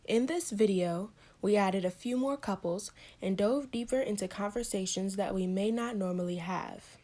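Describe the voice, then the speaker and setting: A woman reading a scripted introduction for a channel, warm and earnest. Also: medium pitch, normal speed. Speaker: channel narrator.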